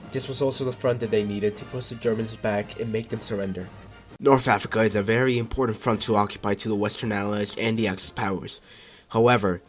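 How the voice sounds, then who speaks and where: Male newsreel narrator over music. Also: fairly low pitch. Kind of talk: scripted 1940s-style newsreel narration.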